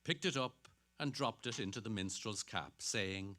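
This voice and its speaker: A man's voice: on the low side, unhurried, pushed and bright. A man narrating literary fiction in a dramatic reading. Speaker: actor reading aloud.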